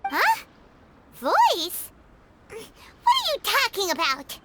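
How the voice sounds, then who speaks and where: A woman voicing a tiny, excitable female fairy sidekick in a video game, childlike, squeaky and dramatically indignant. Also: extremely slow, heavy background noise, heavily acted. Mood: flustered. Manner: refers to self in third person, dramatic.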